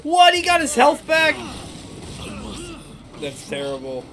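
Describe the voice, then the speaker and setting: A man's voice: extremely high pitch, wildly swooping pitch, takes it slowly, pushed and bright, faint music behind. A man giving casual, slangy gameplay commentary with wry reactions. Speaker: gaming streamer. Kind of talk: casual live game commentary.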